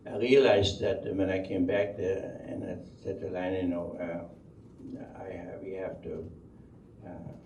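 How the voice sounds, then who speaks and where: An older man from Denmark with a Danish accent tells his life story unscripted, halting and repeating words as he rambles along. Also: low voice, mellow. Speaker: speaker telling life story. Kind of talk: unscripted oral history storytelling. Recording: dull, roomy, distant microphone, faint noise.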